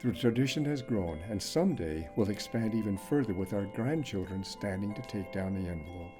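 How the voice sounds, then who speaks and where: A man reading a sentimental story aloud as scripted narration, in a measured, expressive storytelling manner. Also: fairly low pitch, music in the background. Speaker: story reader.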